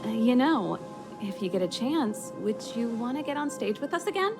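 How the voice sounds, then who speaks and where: A woman's voice: a little high, lively intonation, normal speed, music in the background. An actress playing a confident, easygoing young woman mechanic and rock musician in a video game, with a breezy, self-assured manner. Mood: breezy, friendly.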